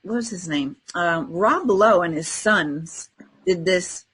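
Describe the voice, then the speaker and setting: Husky-voiced woman chatting casually. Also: on the low side, slow, fairly bright tone. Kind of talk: casual chat, telling personal anecdotes.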